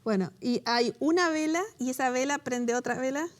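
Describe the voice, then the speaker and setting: Animated high-voiced woman teaching scripture. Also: normal speed. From Latin America. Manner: animated, laughs often, emotional when recalling personal story. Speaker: co-host of a Bible study show. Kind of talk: conversational Bible teaching.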